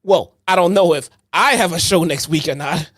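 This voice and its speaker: Dry, teasing podcast co-host, a man; a little high-pitched, no room sound. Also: normal speed, a bit bright, husky, no echo. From Chicago. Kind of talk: casual chat.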